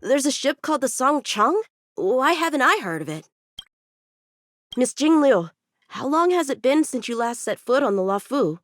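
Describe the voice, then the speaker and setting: An eager young swordsman for a video game dub. The boyish voice sits fairly high and swings with lively inflection. He is earnest, polite and sure of himself, with music playing behind him. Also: moderate pace, no echo, studio quality. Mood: earnest, courteous. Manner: earnest, animated. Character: eager, confident teenage boy swordsman, polite and talkative.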